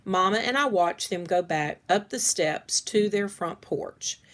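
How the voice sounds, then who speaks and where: A woman's voice: medium pitch, expressive, measured pace, fairly bright tone. A woman reading a children's story aloud as scripted narration.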